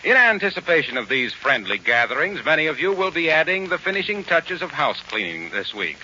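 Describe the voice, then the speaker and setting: A man's voice: on the high side, very animated, brisk, sharp tone. An American man announcing in a scripted 1940s radio style. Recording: muffled, faintly noisy 1940s radio recording.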